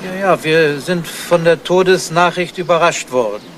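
A man playing a cautious, tactful police investigator in a 1970s radio crime drama, talking in a casual, measured way. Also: on the high side, a little hiss or hum, close to an everyday voice. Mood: calm, tactful.

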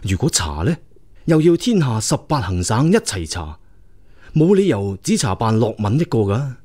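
A man's voice: mid-range voice, big pitch swings, normal speed, darkish tone. A man playing a loyal prince, the emperor's younger brother, advising him earnestly and deferentially in a radio drama. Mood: indignant. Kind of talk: Cantonese radio drama acting.

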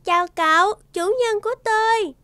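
A woman voicing a tiny cheerful girl fairy in an animated cartoon, childlike, exuberant and a little wistful. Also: very high voice, normal speed, no room sound, studio quality. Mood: friendly, earnest.

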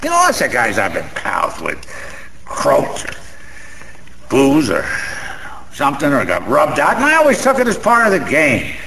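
An actor playing a boozy, sentimental, boastful small-time gambler in a radio drama, rambling in old-fashioned street slang with a gravelly voice. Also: male voice, mid-range voice, wildly swooping pitch, moderate pace, long pauses, pushed and bright, exaggerated voice, poor audio. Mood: defiant, shrugging. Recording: faint background noise.

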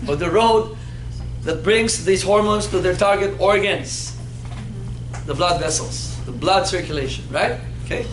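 A man in his fifties teaching anatomy in a classroom, playful and animated, quizzing his students as he goes. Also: sits high, unhurried. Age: 50s. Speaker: anatomy instructor. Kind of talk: classroom lecture with call-and-response. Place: classroom in front of a whiteboard. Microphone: far camera. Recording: echoey classroom with background noise.

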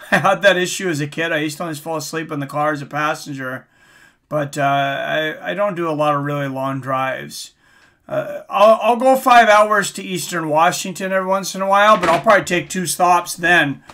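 An American man hosts a sports stream in a fairly high, sharply bright voice. He moves between play-by-play and casual chat. His delivery is conversational and halting, as if thinking while he watches. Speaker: live stream boxing play-by-play host. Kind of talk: casual commentary mixed with chat. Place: small room, indoors, streaming at a desk.

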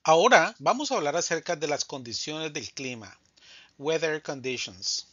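A man teaching Spanish vocabulary in a scripted drill, speaking slowly and deliberately and saying each answer twice. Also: fairly high pitch, animated, fairly bright tone, husky, no room sound, highs cut off.

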